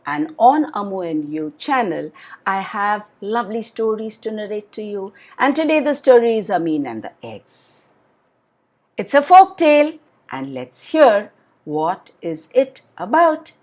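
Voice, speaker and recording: A woman hosts a children's story session. Her delivery is highly animated, with pitch that swings widely, and she addresses her young listeners directly in an expressive bedtime-story style. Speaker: children's storyteller and channel host.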